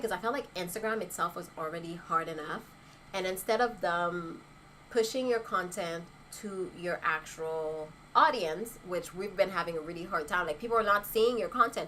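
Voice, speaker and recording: A woman's voice: mid-range voice, moderate pace, sharp tone, slight background noise, low-quality recording. A young woman chatting casually on a livestream as an influencer, reading viewer comments and laughing often between rhetorical asides. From Haitian heritage.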